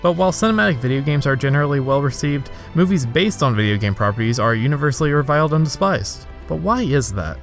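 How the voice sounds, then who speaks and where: A man's voice: a little high, expressive, quick pace, few pauses. A man narrating a scripted comedic video review in a sarcastic, exasperated way. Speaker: video essay host. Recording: background music under voice. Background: music.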